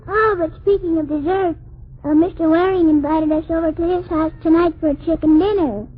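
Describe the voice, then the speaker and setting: A man's voice: very high voice, steady tone, a little fast, pitched above the performer's own voice. A man voicing a mischievous, literal-minded little girl with innocent, cheeky comebacks in a 1940s radio comedy act. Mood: curious, cheeky. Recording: tinny, hissy 1940s radio broadcast.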